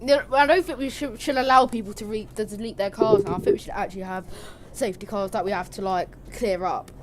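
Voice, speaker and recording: A woman commentating a live sim racing stream. She rambles quickly in casual chat and breaks into excited shouting at crashes. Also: mid-range voice. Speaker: livestream race commentator. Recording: steady background noise and hum.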